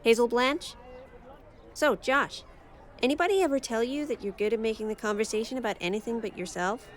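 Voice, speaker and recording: Woman playing a bold, high-voiced outsider girl. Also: fairly quick, natural voice. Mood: teasing. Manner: blunt, confident, casual. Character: bold, carefree nonconformist girl, artsy outsider. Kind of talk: audio drama dialogue.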